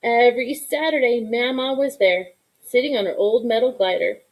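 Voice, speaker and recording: Female storybook reader: somewhat high voice, expressive narration, deliberate dramatic emphasis. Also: low-quality recording. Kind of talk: expressive children's read-aloud narration.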